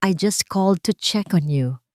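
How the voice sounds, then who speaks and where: A woman with a Filipino accent voicing a wealthy older mother in an audio drama, gentle on the surface and cold underneath. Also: mid-range voice, measured pace, no echo, clean studio sound, lightly acted. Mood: sweet, veiled threat. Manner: gentle surface with veiled coldness. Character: wealthy older mother, softly sweet but coldly controlling.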